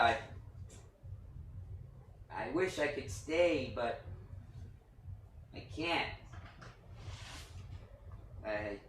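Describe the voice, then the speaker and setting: A man voicing a cuddly, childlike puppet character in a play reading, tender and earnest with a halting, stammering delivery. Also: mid-range voice, pushed and bright, a little hiss or hum, full character voice. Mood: sad, reluctant. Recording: roomy, distant microphone.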